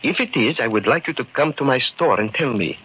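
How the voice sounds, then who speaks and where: An actor playing a calm, resolute immigrant shopkeeper in old radio drama style, with measured, formal phrasing that repeats words. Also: male voice, mid-range voice, lightly acted. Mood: calm, deliberate. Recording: dull, noisy old radio recording.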